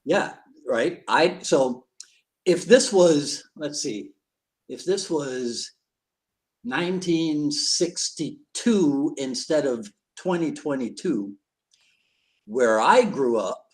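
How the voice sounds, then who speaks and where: A man from Barbados, hosting a casual live-stream chat, jokey and quick to laugh, often repeating words as he goes. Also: fairly high pitch, expressive. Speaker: podcast host.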